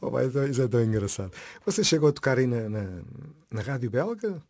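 A man's voice: medium pitch, very animated, a little fast, a little mellow. A man with a European Portuguese accent hosting a casual interview, chatty and enthusiastic, often repeating his phrases. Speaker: interviewer, radio host.

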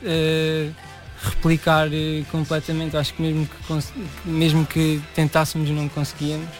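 A man's voice: fairly high pitch, completely flat delivery, normal speed, soft background music. A young man from Portugal's Algarve with an Algarve accent, giving casual, hesitant interview answers sprinkled with English words. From Portimão, Algarve, Portugal. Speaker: musician, interview guest.

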